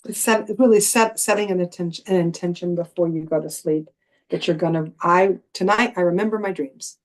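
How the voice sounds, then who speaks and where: A woman's voice: lowish voice, brisk. Encouraging woman leading a writing circle. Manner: encouraging, loosely phrased with frequent restarts. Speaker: host and writing group leader. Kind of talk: guiding a spiritual writing workshop.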